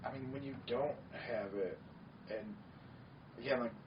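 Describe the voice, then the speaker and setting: A man's voice: mid-range voice, a little slow. A man with a nasal Michigan accent, chatting earnestly as a podcast guest. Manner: earnest, conversational, frequent agreeing. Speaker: podcast guest, wellness center owner. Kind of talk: casual chat. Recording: distant, dull lo-fi sound with faint noise.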